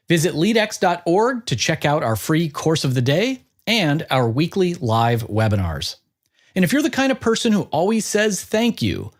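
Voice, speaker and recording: Higher-pitched man hosting a podcast. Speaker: podcast host. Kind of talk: conversational interview and podcast hosting.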